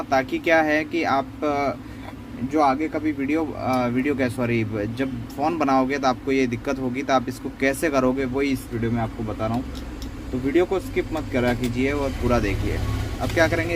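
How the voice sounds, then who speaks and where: A man with a medium-pitched voice talks through a hands-on repair tutorial. He is conversational and explanatory, circling back to repeat points. There is clear ambient noise behind him. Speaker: phone repair tutorial host. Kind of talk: unscripted step-by-step repair demonstration.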